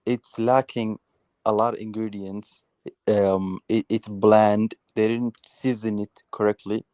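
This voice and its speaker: A man's voice: fairly low pitch, level tone, a little slow, dark tone, dry recording, muffled recording. A man from Uganda hosting a heated casual football debate, insistently repeating words and restarting his sentences.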